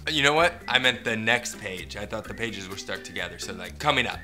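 A man's voice: on the low side, expressive, brisk, bright, forceful tone, soft background music. A man in his thirties, a comedian co-hosting a story show with playful banter. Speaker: co-host, comedian. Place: small room, indoors, seated on a sofa.

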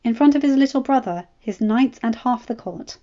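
A woman plays a sharp-witted noblewoman in a fantasy story. She speaks bluntly and with full self-assurance. The voice sits fairly high. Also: lively intonation, normal speed, dull audio, close to an everyday voice. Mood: blunt, confident. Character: sharp, bold noblewoman in hiding.

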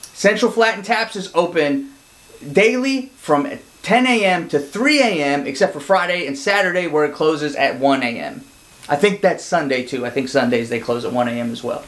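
A man in his forties, a real estate agent hosting his channel, chatting to camera with lively hand gestures in an indoor studio set. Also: on the high side, moderate pace. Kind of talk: scripted to-camera presentation.

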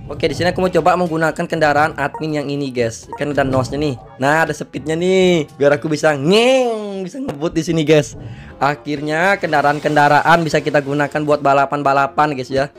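High-voiced young man, slightly hoarse. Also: brisk, faint music behind. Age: young adult. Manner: excitable, slangy, exclamatory. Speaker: gaming video creator. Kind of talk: casual gameplay commentary.

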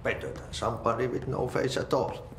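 An actor playing an eccentric older Finnish janitor in a video game, with folksy, cryptic talk and a Finnish accent. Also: male voice, mid-range voice, a little fast, echoey room, whispered, lightly acted. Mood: dryly amused. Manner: folksy idioms, muttered Finnish curses. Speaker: janitor character in a supernatural action game. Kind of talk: video game cutscene acting.

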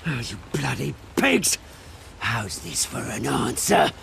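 An actor playing a vengeful, taunting British villain in a video game, theatrical and sneering. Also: male voice, mid-range voice, lively intonation, slow pace, vocal fry, noisy background, exaggerated voice. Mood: furious. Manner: theatrical, sneering, swinging into outbursts. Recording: loud music under the voice.

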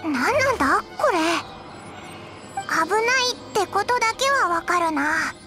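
A woman voicing a tiny, excitable fairy companion in an anime game, childlike and squeaky, with blunt, boyish phrasing. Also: measured pace, full character voice. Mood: wary, curious. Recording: music under the dialogue.

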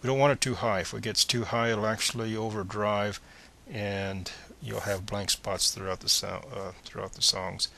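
Guy doing a screencast how-to, lowish bright voice, plain and methodical, step by step. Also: fairly even intonation, moderate pace, gravelly, few pauses. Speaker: tutorial presenter.

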